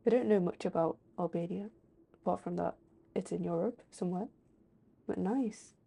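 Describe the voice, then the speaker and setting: A woman's voice: on the low side, normal speed, gravelly, dark tone, husky. A woman livestreaming a game and chatting casually with her viewers. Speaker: live streamer.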